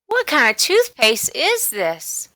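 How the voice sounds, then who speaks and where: A woman voicing a cheeky young boy in a children's storybook, with drawn-out, emphatic words. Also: sits high, wildly swooping pitch, bright, forceful tone, no room sound, pitched above the performer's own voice, full character voice. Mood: puzzled. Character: young boy, cheeky chocolate-loving son. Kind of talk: children's storybook read-aloud.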